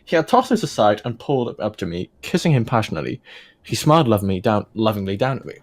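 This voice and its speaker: A man's voice: lowish voice, sing-song, fast talker. A man doing a jokey read-aloud of fan fiction, dropping in casual asides as he goes. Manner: breaks into laughter and commentary while reading. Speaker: host of a fan fiction reading video.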